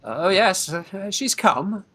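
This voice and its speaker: An actor playing a scheming young Victorian gentleman in a radio farce, urgent, hesitant and bossy. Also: sits high, expressive, slow pace, exaggerated voice. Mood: anxious.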